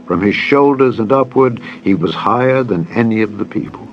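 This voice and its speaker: A man narrating a dramatized scripture reading in a solemn, measured, formal manner. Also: lowish voice, lo-fi sound. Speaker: Bible narrator. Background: background music.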